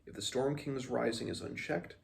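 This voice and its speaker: A man's voice: medium pitch, moderate pace, vocal fry, voice pushed up. A man reading the part of an earnest small mountain-folk apprentice sage in formal, oddly inverted phrasing. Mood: grave, thoughtful.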